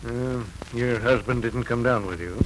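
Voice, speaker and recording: An actor playing an unhurried, formal judge with a polite, methodical manner in a 1940s radio drama. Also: male voice, mid-range voice, close to an everyday voice. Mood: polite, businesslike. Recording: slightly noisy 1940s radio recording.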